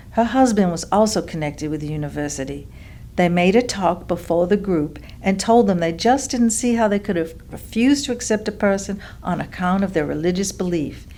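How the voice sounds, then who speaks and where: A woman performing a staged monologue as a genteel older clubwoman, reminiscing in a measured, polite way. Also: mid-range voice, darkish tone, natural voice. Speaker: stage actress performing oral history. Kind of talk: dramatic monologue, staged reading.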